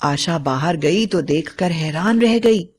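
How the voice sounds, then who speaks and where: A woman's voice: on the low side, expressive, takes it slowly, darkish tone, slightly rough. A woman narrator reading a scripted bedtime story for children.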